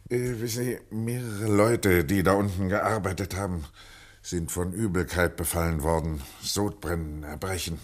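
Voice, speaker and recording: A man acting as a troubled waterworks official in a radio drama, speaking in a low voice. He explains things politely and earnestly, pausing as he searches for words. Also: steady tone, close to an everyday voice. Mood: anxious warning. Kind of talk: German radio play acting.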